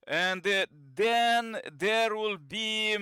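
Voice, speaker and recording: A man with a bright, very clear voice hosts an online meeting in non-native English. His phrasing is halting and broken, with repeats, and he speaks in a high register. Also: dry recording, studio quality. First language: not English. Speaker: presenter and host of an online peace training. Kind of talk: informal moderating of an online meeting. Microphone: video call microphone.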